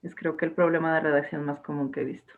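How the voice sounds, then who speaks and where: A woman's voice: deep voice, fairly even intonation, quick pace. Chatty young woman teacher on a tinny line. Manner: chatty, with restarts and fillers. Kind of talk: casual online class talk.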